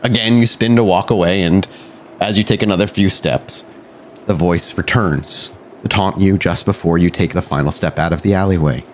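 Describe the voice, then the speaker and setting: A man's voice: sits low, normal speed, a little hiss or hum. A man working as a game master, narrating a tabletop roleplay podcast with dramatic, cinematic scene-setting.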